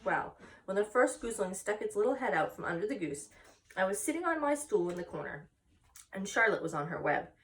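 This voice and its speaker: A woman's voice: medium pitch, sing-song, fairly quick. A woman voicing an earnest, chatty young farm girl who loves animals, in a storybook read aloud, slightly far from the mic. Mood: earnest, proud.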